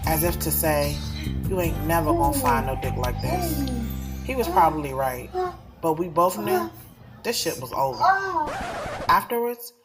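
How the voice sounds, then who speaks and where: A woman's voice: lowish voice, lightly acted. An actress playing a blunt, guarded, selfish woman who tells her own love story in slangy, profane audio drama. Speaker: narrator and lead of an audio drama. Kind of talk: first-person confessional audio drama, slangy.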